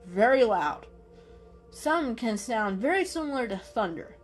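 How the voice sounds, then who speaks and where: Woman acting a kindly storybook tomcat, reassuring, chatty, raised pitch, music behind. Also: big pitch swings, a little slow. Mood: cheerful, helpful. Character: friendly, reassuring adult male golden cat.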